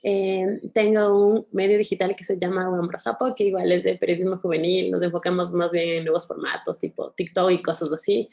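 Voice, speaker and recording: Gravelly woman with a Quito accent. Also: fairly low pitch, flat delivery, brisk, hardly any pauses, warm tone. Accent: Quito Ecuadorian. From Ecuador. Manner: friendly, casual. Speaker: participant. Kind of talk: self-introduction.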